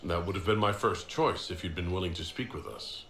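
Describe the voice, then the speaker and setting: A man playing a serious, sceptical hero in a video game, speaking in a formal, measured and dry manner. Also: sits low, a bit bright. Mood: sceptical, composed. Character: composed, serious male hero leading an order, sceptical. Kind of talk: video game dialogue acting. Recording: roomy echo, faint background noise.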